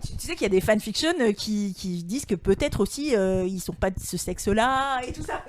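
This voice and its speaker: A woman arguing a point in an informal panel discussion, halting and repeating words as she thinks aloud. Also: mid-range voice, lively intonation, crowd noise.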